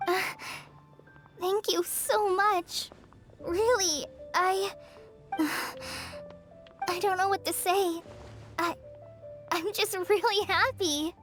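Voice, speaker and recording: A woman's voice: very high voice, fairly even intonation, drawn-out words, pauses often, a bit bright, soft background music, heavily acted. A woman voicing a timid, tentative teenage girl student in a video game. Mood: moved.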